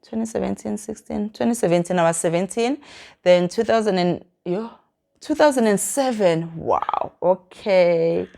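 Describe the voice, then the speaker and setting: A woman's voice: medium pitch, moderate pace, gravelly, dark tone. A South African woman in her thirties from Mpumalanga chats to camera, rambling and correcting herself and dropping Zulu phrases into her English. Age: 30s. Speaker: vlogger. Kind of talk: casual chat to camera, self-introduction.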